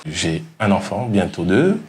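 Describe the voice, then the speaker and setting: Casting: forty-year-old man, deep register, recounting his own path, slight noise behind. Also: sing-song, unhurried, a little mellow. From French Guiana. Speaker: programme participant, salesman. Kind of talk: personal testimonial. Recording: faint background noise.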